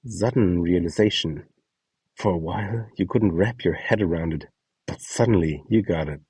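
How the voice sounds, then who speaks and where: A man's voice: deep voice, normal speed, a little mellow, breathy edge, dry recording, far from the mic. A man reading a scripted instructional guide, acting out short example lines as he goes. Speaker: instructor presenting a voice donation guide.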